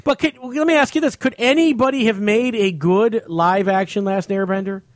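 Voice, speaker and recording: A man's voice: high voice, normal speed, no echo, clean studio sound. A man co-hosting a comedy podcast, talking in casual, conversational banter with a fellow comedian. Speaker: podcast co-host and stand-up comedian.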